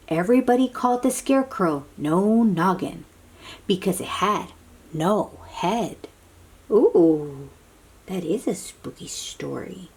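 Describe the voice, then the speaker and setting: A woman's voice: fairly low pitch, slightly rough. A woman reading a picture book aloud to young children, dramatically, with asides and questions to the listeners. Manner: expressive, drawn-out, dramatic delivery with hushed moments.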